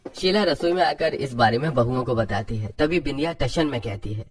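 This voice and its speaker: A man's voice: medium pitch, moderate pace, recorded from a distance, faint music behind. A man narrates a scripted story in a mid-range voice. He sounds set slightly back from the microphone, telling the tale as its narrator.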